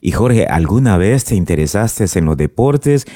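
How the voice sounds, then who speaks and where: A man with a very deep, mellow voice asks formal interview questions. His pitch moves in a lively way. The sound is close and dry. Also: normal speed, dry recording. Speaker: radio interviewer.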